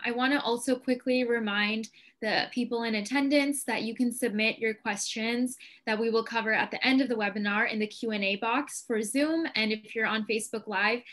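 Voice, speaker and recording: A woman's voice: on the high side, fairly even intonation, moderate pace, a bit bright. Woman moderating a webinar. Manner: reads prepared questions with many "um" fillers. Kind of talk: hosting a live webinar. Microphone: video call.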